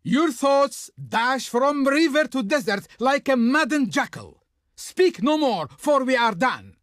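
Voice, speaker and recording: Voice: high-pitched, very animated, takes it slowly, a bit bright, no room sound, clean studio sound, full character voice. An actor playing a haughty, grandiose Egyptian diplomat in a video game, speaking in a pompous, formal, declamatory way. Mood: exasperated contempt. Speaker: game character, faction envoy. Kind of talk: theatrical video game voice acting.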